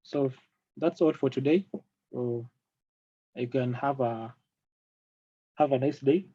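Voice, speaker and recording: A man with a Kenyan accent leading a live technical product training online, speaking haltingly and repeating phrases. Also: mid-range voice, warm tone, husky. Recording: dull lo-fi online call audio.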